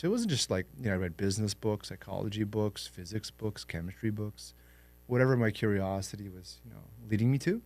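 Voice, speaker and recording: A young man born in Lebanon and raised in Canada, telling his life story in a relaxed, reflective way as a podcast guest. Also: on the low side. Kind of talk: interview storytelling.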